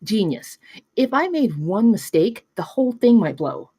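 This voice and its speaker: Woman doing cocky kid genius girl inventor, bit husky, expressive, deadpan, table read. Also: medium pitch, a little slow, no room sound, studio quality, heavily acted. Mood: eager, proud.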